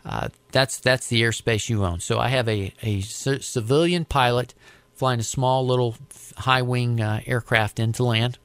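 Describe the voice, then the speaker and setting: An American man recounting his work as an air traffic controller in a conversational, deliberate, explanatory way. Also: medium pitch, fairly bright tone, clear, resonant tone, dry recording. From United States. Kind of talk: conversational storytelling interview.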